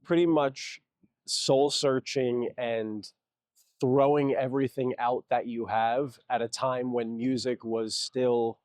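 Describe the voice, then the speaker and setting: Man giving earnest, measured opinions. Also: medium pitch, fairly even intonation, a little mellow, no room sound, studio quality. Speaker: interviewee.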